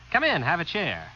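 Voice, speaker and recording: Animated, flustered skeptical householder. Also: male voice, fairly high pitch, exaggerated voice. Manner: hesitant, muttering, flustered. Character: skeptical, absent-minded male householder of the far future. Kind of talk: 1950s radio drama acting. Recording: muffled, hissy vintage radio recording.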